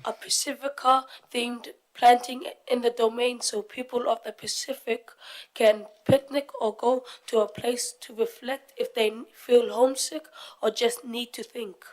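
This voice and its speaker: A woman's voice: a little high, fairly even intonation, unhurried, darkish tone, poor audio. A young woman from Fiji reading out her part of a prepared group presentation. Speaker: youth forum presenter.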